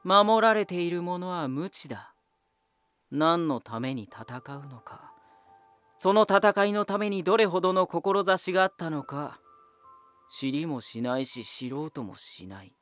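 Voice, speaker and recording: An actor playing a proud, ominous lighthouse spirit hardened by war, deliberate, cryptic and condescending, in a dramatic voice drama. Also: male voice, a little high, expressive, a bit bright, faint music behind. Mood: scornful. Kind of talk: dramatic Japanese voice drama acting.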